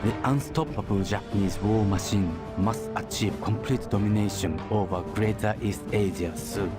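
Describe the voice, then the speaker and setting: An actor playing a solemn military briefing officer, narrating a scripted game briefing in a measured, authoritative way. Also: male voice, fairly low pitch, natural voice. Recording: loud music under the voice.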